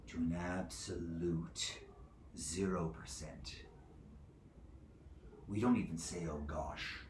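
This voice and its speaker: A man playing a menacing, foul-mouthed zealot who sits as divine judge, in a theatrical monologue with a profane, taunting, domineering manner. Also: a bass voice. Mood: low, threatening. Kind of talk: theatrical monologue, swinging from whispers to screams. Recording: echoey room with clear background noise.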